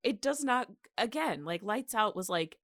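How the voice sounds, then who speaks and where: A woman's voice: a little high, expressive, moderate pace, a bit bright, no room sound, clean studio sound. A woman hosting a podcast, telling history in a casual, jokey, conversational way. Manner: jokey, giggly, informal. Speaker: podcast host.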